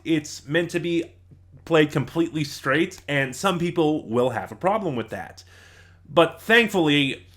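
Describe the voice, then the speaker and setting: Guy reviewing stuff off the cuff, medium pitch, stop-start and emphatic, kinda exasperated. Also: a bit bright. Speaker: audio drama reviewer. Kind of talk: informal spoken review.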